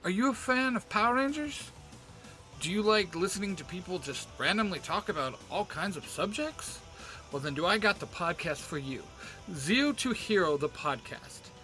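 High, animated man pitching a podcast. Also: moderate pace, poor audio. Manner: animated, cheeky salesman. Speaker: podcast promo announcer. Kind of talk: chatty direct-address advertisement pitch. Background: faint music.

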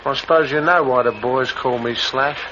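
A man's voice: mid-range voice, normal speed, pushed and bright, lightly acted. An actor, a man, playing a cocky, domineering gang boss in an old radio drama, clipped and threatening. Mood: cocky, menacing. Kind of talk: 1950s radio drama acting. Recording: dull, faintly noisy old radio recording.

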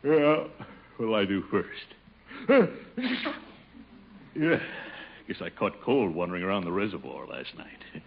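An actor playing a pompous, easily flustered middle-aged water commissioner in a blustery 1950s radio sitcom style. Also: male voice, low-pitched, big pitch swings, slow, gravelly, pauses often, exaggerated voice. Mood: brooding. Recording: muffled old radio recording, faint hiss.

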